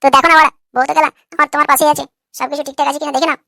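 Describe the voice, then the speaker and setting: A man acts out an irritable young cartoon husband with a high, artificially raised voice. He is crude and combative, firing words out very fast in a sharp, bright tone. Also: little pitch movement, gravelly, no room sound, studio quality, exaggerated voice. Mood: defensive. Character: irritable, foul-mouthed, quarrelsome young husband. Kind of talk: comic cartoon dialogue.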